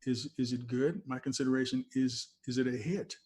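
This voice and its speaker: An older man speaks in a middle-pitched voice with the ease of a veteran radio disc jockey. He reminisces informally, in a loose conversational style, as he would in an interview. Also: fairly even intonation, fairly quick, a little mellow, breathy edge. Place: video call from a cluttered room.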